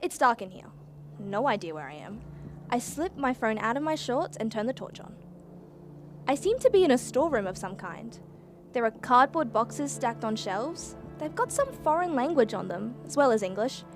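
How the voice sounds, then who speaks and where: Lively, high-voiced young Australian student. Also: female voice, moderate pace, music in the background. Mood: curious, wary. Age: young adult. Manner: animated, slangy. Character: plucky, sarcastic young female student research assistant. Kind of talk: radio play acting, first-person narration.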